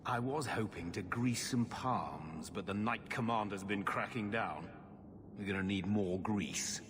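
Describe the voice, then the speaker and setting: An actor playing a shifty, evasive middle-aged uncle in a video game cutscene, hesitant and stammering. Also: male voice, fairly low pitch, unhurried, noisy background, close to an everyday voice. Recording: game score behind the voice.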